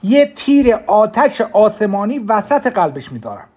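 A man's voice: mid-range voice, very animated, dark tone, slightly rough. A man voicing a defiant young woman archer who speaks fiercely and commandingly, in an audiobook. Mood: angry. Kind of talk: audiobook character reading.

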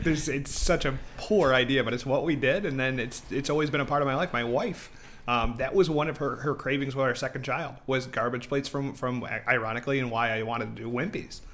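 A man's voice: medium pitch, fairly even intonation, a little fast, crowd noise. A man of thirty-eight from Rochester with an American accent, telling anecdotes as a guest in a chatty, informal podcast conversation. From Rochester, New York, United States. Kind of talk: casual anecdotal podcast chat.

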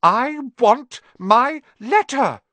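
A man's voice: extremely high pitch, animated, extremely slow, dark tone, dry recording, voice pushed up, full character voice. A man voicing an indignant, determined young boy in a storybook, protesting insistently. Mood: angry demanding.

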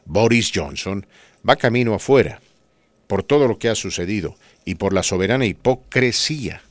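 A man with a fairly low voice hosts a talk show in a conversational way. His delivery is highly animated and emphatic, full of rhetorical turns to the listener. The sound is dry and close. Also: moderate pace, no echo. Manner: emphatic, rhetorical, asks listeners tag questions. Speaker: radio podcast host. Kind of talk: conversational news commentary.

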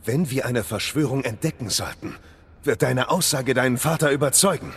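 An actor playing a grim, guarded Victorian knight in an audio drama, with a gravelly voice and a terse, intense, formal manner. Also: male voice, mid-range voice, moderate pace. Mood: urgent, resolute.

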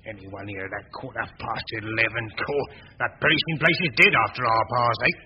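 An actor playing a prickly working-class innkeeper in a radio mystery, talking in a colloquial, grumbling, sarcastic way. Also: male voice, medium pitch, a little fast, lightly acted. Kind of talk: 1940s radio mystery acting. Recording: noisy, muffled old radio drama recording.

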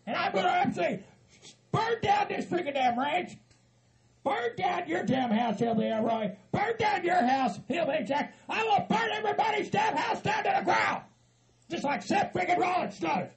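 A man voicing an angry, foul-mouthed Southern hillbilly who rants and shouts as if deeply wronged. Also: gravelly, full character voice. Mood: furious, despairing. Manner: hot-tempered, shouting, profane.

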